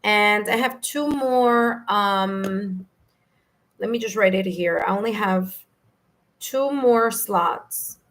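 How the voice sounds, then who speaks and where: A woman with a New York accent and a slightly hoarse, raspy voice, hosting a live tarot stream in a friendly, chatty way. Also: medium pitch, slow, fairly bright tone. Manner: friendly, chatty, laughs easily. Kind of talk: casual live-stream chat, greeting viewers.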